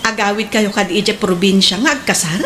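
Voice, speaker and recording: A woman playing a chatty, opinionated friend in a radio drama, with an expressive delivery and an Ilocano accent. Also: medium pitch, normal speed, slight background noise, close to an everyday voice. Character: lively working bride's friend, chatty, opinionated.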